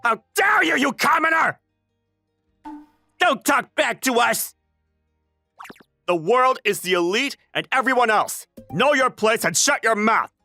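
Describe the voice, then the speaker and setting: A man's voice: very high voice, very animated, unhurried, long pauses, pushed and bright, no echo, faint music behind, full character voice. A man voicing a spoiled, hot-headed elite schoolboy bully in an anime-style comic dub, haughty, indignant and whiny. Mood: indignant outrage.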